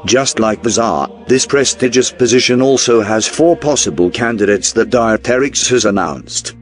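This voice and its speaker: A man reading scripted documentary narration in a formal, measured newsreel style. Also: mid-range voice, lively intonation. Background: background music.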